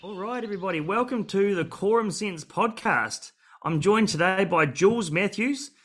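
A man's voice: sits high, moderate pace, a bit bright. A man hosting a podcast, opening with an upbeat, welcoming scripted intro. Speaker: podcast host.

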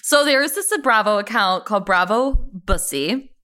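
A woman co-hosting a podcast, casually chatting about pop culture and telling stories in a chatty, animated way. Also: medium pitch, moderate pace. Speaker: podcast co-host.

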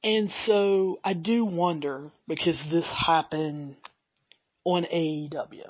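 A man talks slowly and unhurriedly, his voice a touch husky and raspy. He rambles through a solo podcast recap with plenty of fillers. The sound is dry and a little dull, like a modest home setup. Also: a little high, dry recording. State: slightly raspy voice. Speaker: podcast host.

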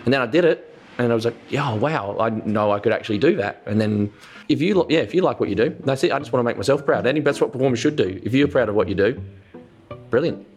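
Male guest performer, very quick talker, candid and animated casual storyteller, mid-range voice. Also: medium pitch, faint music behind. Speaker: guest actor and comedian.

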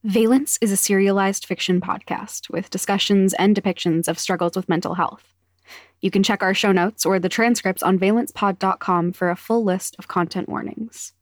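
A woman's voice: medium pitch, fairly even intonation, moderate pace. A woman announcer reading scripted podcast narration.

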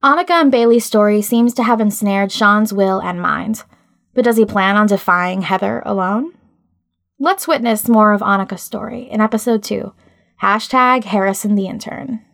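A woman's voice: medium pitch, normal speed, creaky, fairly bright tone. A woman hosting, reading scripted narration to introduce an audio drama episode. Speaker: host.

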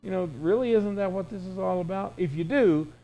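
A man's voice: high-pitched, brisk, mellow, soft background music, poor audio. A man in his sixties preaching an informal, conversational sermon in a folksy way, with emphatic repetition and imagined dialogue. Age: 60s.

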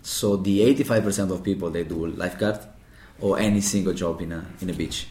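A young Italian man with a very low voice tells his story in halting, broken English and restarts often. The interview was recorded in a room with a noticeable echo. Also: mellow. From Italy. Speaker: bartender.

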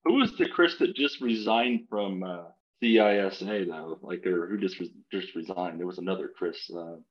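Male podcast guest, very low voice, hesitant delivery, dull lo-fi remote audio. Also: moderate pace. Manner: hesitant, trailing off when unsure. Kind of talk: casual podcast chat. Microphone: remote call.

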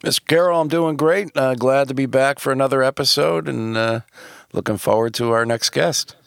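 A man's voice: medium pitch, normal speed, gravelly, no echo, clean studio sound. A man, co-hosting a podcast in a conversational style. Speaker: podcast co-host.

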